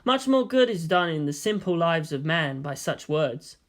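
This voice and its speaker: Narrator as an exasperated, animated teenage boy. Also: mid-range voice, normal speed. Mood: reflective.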